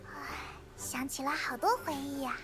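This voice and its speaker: A woman voicing a tiny, eager, chatty fairy companion in cutesy, expressive anime game style. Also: sits high, moderate pace, over music, natural voice. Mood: eager, curious. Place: studio game dialogue with music behind.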